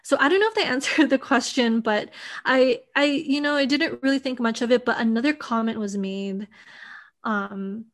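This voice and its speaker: A woman's voice: a little high, moderate pace. A young woman from Hawaii telling her personal story in an interview, thoughtful and full of casual fillers. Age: young adult. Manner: thoughtful, many fillers like "like" and "you know".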